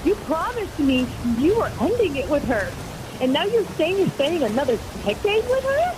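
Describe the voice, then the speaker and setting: A woman's voice: extremely high pitch, big pitch swings, moderate pace, noisy background. A woman in a confrontational phone call, insistent and repeating herself. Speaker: caller confronting her lover.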